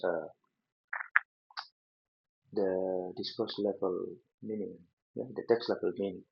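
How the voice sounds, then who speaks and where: A man with an Indonesian accent giving an unscripted lecture over slides, halting often with fillers and restarts. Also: fairly low pitch, creaky. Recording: dull lo-fi recording.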